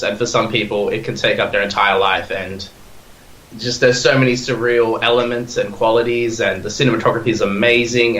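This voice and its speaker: A man's voice: on the low side, fairly bright tone, roomy sound, low-quality recording. A young man in his twenties with an Australian accent, talking as a podcast guest in a rambling, eager way full of fillers and restarts. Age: 20s. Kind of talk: casual chat.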